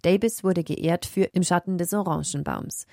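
A woman reporter reading a culture news report in a measured voice. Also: on the low side, gravelly, dark tone, no room sound, clean studio sound. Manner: measured, read-out delivery.

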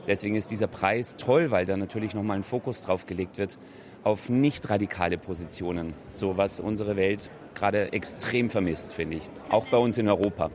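A man speaks earnestly in an interview with a lowish voice. He is outdoors. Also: normal speed, darkish tone. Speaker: award accepter. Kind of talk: interview statement.

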